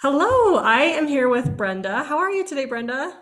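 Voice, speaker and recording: A woman's voice: sits high, big pitch swings, normal speed, a bit bright. A woman hosting a podcast interview, talkative and eager, recorded over a video call. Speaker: podcast host. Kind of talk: casual interview chat.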